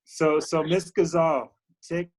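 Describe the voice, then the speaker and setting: A man hosting a youth group chat on a video call, talking casually and haltingly with many fillers and restarts. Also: on the high side, little pitch movement, a little mellow. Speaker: youth program host.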